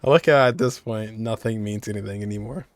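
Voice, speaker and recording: A man's voice: fairly low pitch, a little fast, no room sound. A man giving casual, slangy playthrough commentary, full of joking asides and mock resignation. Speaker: gaming streamer.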